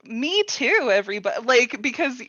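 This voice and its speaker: A woman's voice: a little high, sing-song, normal speed, a bit bright, no echo, dull audio. A woman hosting a workshop in casual chat, with an informal, giggly manner and tentative phrasing. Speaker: workshop host.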